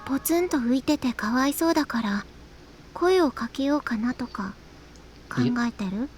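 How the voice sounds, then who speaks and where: High-voiced, gossipy schoolgirl. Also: lively intonation, quick pace, a little mellow, soft background music. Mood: wary gossip. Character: cautious, gossipy teenage schoolgirl. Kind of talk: Japanese visual novel voice acting.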